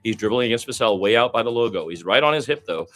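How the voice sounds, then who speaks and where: A man's voice: lowish voice, expressive, fast, a bit bright. A man doing live radio play-by-play of basketball, describing the action in running detail with short exclamations and asides.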